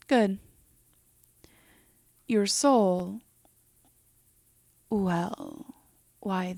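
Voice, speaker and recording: A woman playing a composed, teasing, possessive female demon in a roleplay audio drama, speaking in a measured, softly haughty way that slips into whispers. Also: medium pitch, no echo, studio quality. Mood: unimpressed, sly. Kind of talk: roleplay audio drama, intimate slow delivery.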